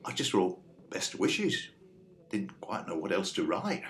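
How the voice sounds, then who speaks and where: An actor playing a well-meaning, placid mechanic, mild, tentative and reassuring in an audio drama. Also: male voice, sits low, very animated, measured pace. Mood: earnest, unsure. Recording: roomy echo, faint background noise.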